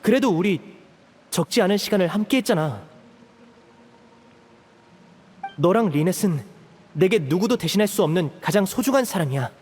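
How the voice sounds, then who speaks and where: Game role: earnest, protective young man, measured and resolute, lightly high pitch, long reverb. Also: lively intonation, a little mellow, slightly rough. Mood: earnest, determined. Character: protective, earnest young elder brother figure, raised an orphan. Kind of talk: video game voice acting.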